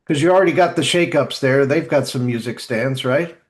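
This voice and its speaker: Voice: medium pitch, fairly quick. A person in casual group banter with a joking, easygoing way of talking.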